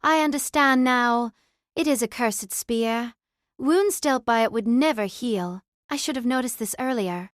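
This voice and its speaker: A gentle, anxious young noblewoman of magic, as a woman plays her, speaking in a light, high voice. The recording is dry and close. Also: unhurried, no echo, lightly acted. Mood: worried.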